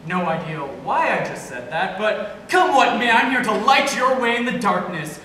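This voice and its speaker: A woman's voice: medium pitch, very animated, normal speed, sharp tone. Woman acting a theatrical late-night radio DJ, very echoey. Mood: playful, theatrical. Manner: declaiming, rhythmic, rhyming patter. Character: theatrical, chatty female late-night radio DJ, playful and lonely. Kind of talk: amateur stage play monologue. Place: stage in a hall, recorded from afar. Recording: echoey hall, distant mic, noisy.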